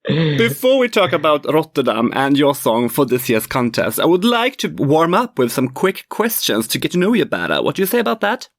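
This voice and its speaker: A man hosting a podcast, with a fairly high voice that swings widely in pitch and has a gravelly edge. He reads a scripted intro and then fires off quick questions in a friendly, teasing way. Faint music plays behind him. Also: sing-song, no echo. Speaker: podcast host.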